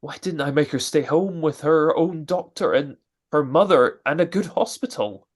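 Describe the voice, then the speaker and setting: A man's voice: on the high side, lively intonation, moderate pace. A man playing a nervous, fretful expectant father with an anxious stammer in a radio drama. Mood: anxious, hopeful. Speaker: amateur radio drama actor.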